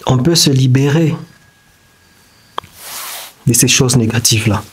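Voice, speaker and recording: Guy talking slow and thoughtful about self-growth, french isn't his first language, keeps restarting. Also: medium pitch, slight background noise. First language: not French. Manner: halting, searching for words with many restarts. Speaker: self-development video creator. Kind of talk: reflective motivational talk. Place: indoors beside a whiteboard diagram.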